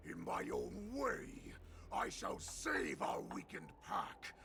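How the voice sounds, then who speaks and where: Savage wolf warlord, heavy gravel, wildly swooping pitch, grandiose, menacing, video game villain, music behind. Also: male voice, wildly swooping pitch, slow pace, pushed and bright, a little hiss or hum, exaggerated voice. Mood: brooding resolve. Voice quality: gravelly, snarling. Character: savage wolf warlord rallying his pack to massacre.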